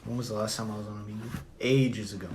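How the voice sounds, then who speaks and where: A young man with a Southern American accent, chatting loosely on a phone livestream from home. His low voice has a gravelly, fry-heavy texture. He rambles bluntly and swears freely while reacting to comments. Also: flat delivery, fast talker, darkish tone, a little hiss or hum. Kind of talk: casual live-stream chat reading comments.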